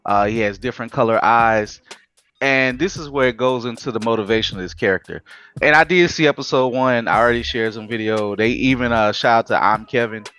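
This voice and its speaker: A talkative man hosting a casual podcast, rambling through his opinions with animated asides. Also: medium pitch, brisk, clean tone. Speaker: podcast host. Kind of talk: casual chat.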